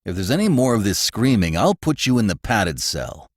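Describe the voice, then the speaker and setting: Male narrator as a gruff, sharp-tongued warden, low voice, dry read. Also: very animated, moderate pace, dry recording. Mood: stern impatient. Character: stern, impatient male prison warden. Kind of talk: audiobook character voice.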